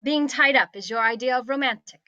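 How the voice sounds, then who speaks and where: A woman's voice: sits high, fairly quick, bright, forceful tone, no room sound, dull audio. A woman playing a haughty, bossy, petulant young princess in a comedic script read, with an imperious, commanding manner.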